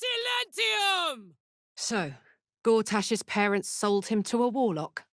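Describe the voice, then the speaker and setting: A woman playing a brash, warm-hearted, tough tiefling warrior in a video game, speaking in a blunt, slangy, heartfelt way. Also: a little high, huge pitch swings, takes it slowly, no echo, clean studio sound, heavily acted. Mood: shouted incantation. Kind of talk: video game character voice acting.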